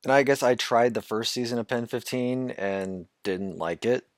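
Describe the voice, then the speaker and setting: A man co-hosting a casual podcast chat, easygoing but quick to protest. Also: medium pitch, dry recording, studio quality. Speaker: podcast co-host.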